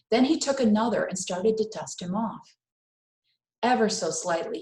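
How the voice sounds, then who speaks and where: A woman reading a children's story aloud in a careful, expressive storytelling manner with dramatic pauses. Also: mid-range voice. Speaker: read-aloud host. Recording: roomy, echoing indoor sound.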